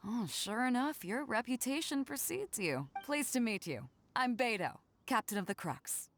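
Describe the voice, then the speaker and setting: A woman's voice: on the high side, animated, normal speed, a bit bright, a little hiss or hum. A woman playing a bold, breezy female sea captain in a video game, talking in a confident, informal way. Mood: surprised, hearty.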